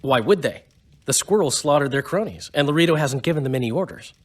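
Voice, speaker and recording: An actor playing a wry, eloquent, cynical bard in a fantasy video game, with a husky voice. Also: male voice, fairly high pitch, lively intonation, fast. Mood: wry, informing. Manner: wry, theatrical phrasing.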